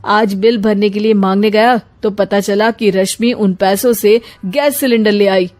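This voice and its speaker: A woman's voice: medium pitch, fairly even intonation, moderate pace, a little mellow, natural voice. A woman voicing a puzzled, complaining adult son in a family story, plaintive and questioning.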